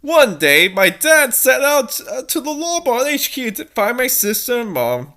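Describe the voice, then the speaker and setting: A woman's voice: a little high, big pitch swings, a little slow, fairly bright tone. A woman voicing a melodramatic, edgy fourteen-year-old cat princess from a fanfiction, in an overdramatic, mock-serious manner. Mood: self-important, dramatic.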